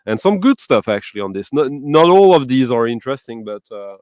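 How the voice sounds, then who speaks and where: A man's voice: mid-range voice, sing-song, brisk, darkish tone, no room sound. A man hosting a casual music chat, enthusiastic and searching for his words, with English that is not his first language. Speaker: host.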